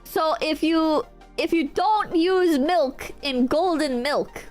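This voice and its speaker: A woman streamer with a nasal, stuffy-nosed voice and a sore throat from a cold, chatting excitably and yelling and swearing in bursts. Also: sits high, slow, faint music behind. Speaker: streamer reading out online reviews. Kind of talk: casual livestream chat.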